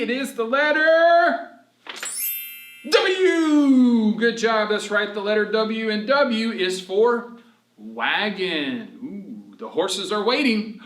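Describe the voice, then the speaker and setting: A man leading a read-aloud lesson for children as a storyteller, animated and encouraging, asking questions and answering them himself. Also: sits high, a little slow. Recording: roomy echo, distant microphone.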